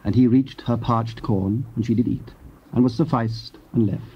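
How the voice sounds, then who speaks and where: A man's voice: mid-range voice, fairly even intonation, normal speed, slight background noise. A man giving solemn, scripted narration of scripture. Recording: dull, lo-fi old cassette.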